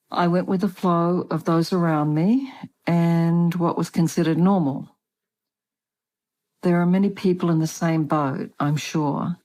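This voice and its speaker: An older woman with an Australian accent, a guest author calmly telling her life story in a measured, prepared way over a remote call. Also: on the low side. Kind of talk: prepared personal account.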